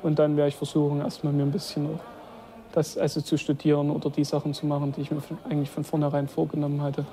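A young man talks casually about himself in a fairly high voice. His delivery is very monotone and his tone is dark and relaxed. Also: fast, a little hiss or hum. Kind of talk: personal account, casual interview talk.

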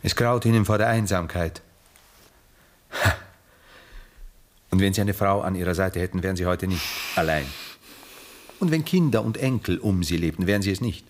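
Deep, coolly measured aging Viennese aristocrat. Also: male voice, lively intonation. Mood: composed, cool. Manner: measured, rhetorical, deliberate phrasing. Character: aging detached aristocratic Viennese man, coolly philosophical bachelor. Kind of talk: 1960s German radio play acting.

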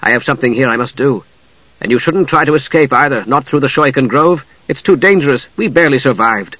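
A man voicing an earnest, shy, lovestruck young male wizard in a fantasy audiobook, with a soft-spoken, hesitant manner. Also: medium pitch, a little fast, no echo, lo-fi sound, natural voice.

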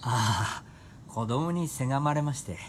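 A man's voice: medium pitch, animated, unhurried, slight background noise, lightly acted. A man playing a mild, self-effacing doctor-novelist husband, easygoing and understated, in a period radio drama. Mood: mild, wry.

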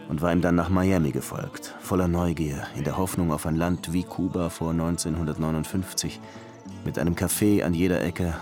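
A man's voice: deep voice, lightly acted. An actor playing a weary, dryly sardonic police detective in his thirties in a German radio crime drama. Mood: reflective. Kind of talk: German radio crime drama, dialogue and first-person narration.

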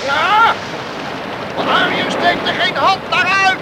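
Shouting oarsman, very high strained pitch. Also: takes it slowly, heavy background noise. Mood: alarmed. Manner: shouting, urgent. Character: alarmed oarsman on a rescue boat at sea. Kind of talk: Dutch radio drama acting.

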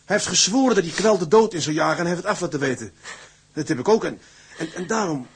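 An actor playing a troubled, stubborn ship's mate and husband in a radio drama, earnest and halting. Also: male voice, fairly high pitch, expressive, husky, exaggerated voice. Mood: stubborn, defensive.

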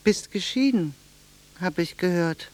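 An actress playing a blunt, wistful middle-aged village cashier in a German radio drama, speaking in a deliberate, intimate way. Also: female voice, on the low side, lively intonation, a little mellow.

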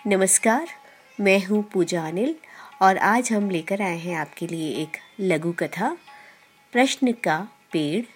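A woman narrates like a podcast storyteller, taking her time over each phrase. Her pitch sits in a middle range for a woman, and her delivery is measured and deliberate. Soft music plays behind her. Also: husky. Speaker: podcast host and story reader. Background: background music.

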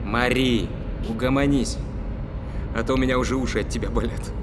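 A man's voice: mid-range voice, steady tone, fairly quick, lightly acted. An actor playing an irritable, curt spaceship crewman in an audio drama, grumbling at his colleague. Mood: irritated. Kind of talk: Russian audio drama acting. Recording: clear noise, vehicle-like ambience.

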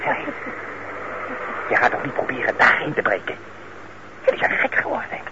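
A jumpy small-time crook in an old radio drama, his mid-pitched voice swinging widely as he protests in halting, defensive bursts. The recording is muffled and very noisy. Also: wildly swooping pitch. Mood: wary. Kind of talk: Dutch radio play acting.

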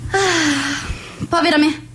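Radio play: woman as gushing, bossy society hostess, high voice, some background noise. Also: extremely slow, a bit bright, full character voice. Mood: imperious, exasperated. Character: fussy, social-climbing society hostess and mother.

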